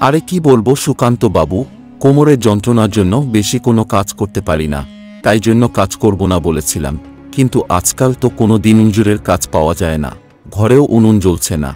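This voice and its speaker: A man voices a poor, weary husband who works as a day labourer in a cartoon tale. His voice is fairly low, with a humble, plaintive manner. Faint music plays behind him. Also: expressive, a little fast, darkish tone, lightly acted. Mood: pleading. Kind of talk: cartoon story voice acting.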